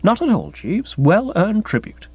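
A man voicing a breezy, excitable young upper-class English gentleman in a comic audiobook, chirpy and indignant, with old-fashioned slang. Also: high voice, unhurried, slightly rough, no room sound, highs cut off, voice pushed up, heavily acted. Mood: cheerful. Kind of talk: comic audiobook character acting.

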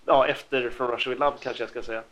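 A man presenting game facts on a podcast, speaking haltingly with many hesitant pauses. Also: mid-range voice, steady tone, a bit bright, dull audio. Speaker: podcast host.

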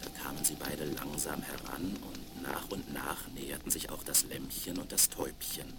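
A man's voice: rumbling low, fairly even intonation, normal speed, creaky. A man narrating a scripted fairy tale for children as storyteller, on a crackly 1970s vinyl record.

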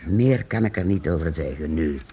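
Geheimzinnige oude meester. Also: male voice, rumbling low, muffled recording, a little hiss or hum. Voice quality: measured, reassuring, lively intonation. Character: secretive old master inventor, calm and fatherly. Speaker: master craftsman. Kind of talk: radio play acting.

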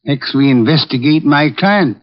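An actor playing a pompous, lazy master detective in a 1940s radio drama, speaking in lofty, verbose, drily imperious phrases. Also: male voice, fairly high pitch, moderate pace, lightly acted. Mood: pondering. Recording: muffled old radio recording.

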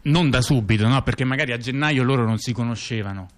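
A man telling a story conversationally, repeating words and often tagging on little questions. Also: mid-range voice. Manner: halting, with repeated words and frequent rhetorical tag questions. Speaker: storyteller in a two-person narrative theatre dialogue. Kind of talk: conversational narrative monologue.

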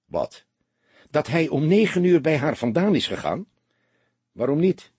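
A man voicing a seasoned, greying police detective in an audiobook, calm, sober and matter-of-fact. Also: fairly high pitch, a little slow, slightly rough, no room sound, pitched above the performer's own voice, full character voice.

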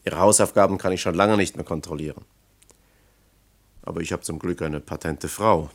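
An actor playing an earnest, cautiously discouraging male official in a radio play, with a measured, formal, probing manner. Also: low voice. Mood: explaining, conciliatory. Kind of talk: German radio play acting.

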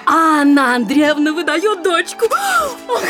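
Casting: effusive provincial wife, very high voice, slow weepy delivery, classic stage comedy, music underneath. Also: female voice, wildly swooping pitch, exaggerated voice. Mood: gushing delight. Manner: breathless, effusive, repetitive. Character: gushing, tearfully delighted provincial official's wife. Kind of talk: theatrical classic comedy audio play.

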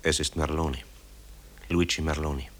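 A very deep male voice, grave and dramatic, plays a composer son tormented by guilt. The delivery is halting and confessional, in the manner of a German radio play. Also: steady tone, a bit bright. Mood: grim, haunted.

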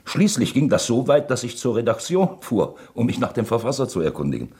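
An actor playing a brooding elderly uncle in a radio drama, husky, speaking in a halting, pensive and uneasy way. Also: male voice, medium pitch, sing-song, mellow. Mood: musing, rueful. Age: older.

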